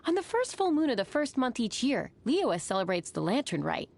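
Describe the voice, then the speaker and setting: A woman's voice: on the high side, sing-song, normal speed, creaky, a little mellow, full character voice. A woman voicing a polite, friendly innkeeper in a video game, explaining things courteously. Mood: informative. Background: music.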